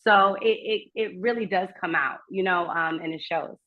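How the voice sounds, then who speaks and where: A woman's voice: lowish voice, normal speed. A woman, a native German speaker, talking as a guest astrologer in a casual remote conversation. She speaks earnestly and personally, in long flowing runs. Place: remote, while traveling. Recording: muffled lo-fi remote audio.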